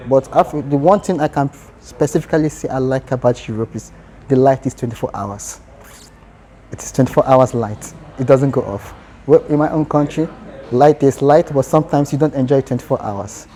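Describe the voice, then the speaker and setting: A man's voice: medium pitch, warm tone, a little hiss or hum. A man with a Nigerian accent answering interview questions earnestly, in short phrases that restart.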